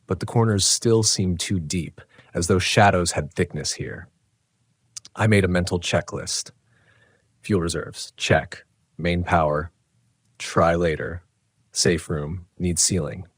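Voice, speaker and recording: A man reading a scripted first-person horror story in a measured, dramatic way. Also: low-pitched, dry recording. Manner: measured, dramatic, literary delivery.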